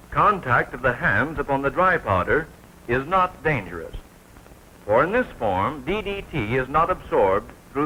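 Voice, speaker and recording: An American man narrating a 1940s military training film from a script, formal and precise, with clearly enunciated announcer delivery. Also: a little high, bright, forceful tone. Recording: noisy 1940s film soundtrack.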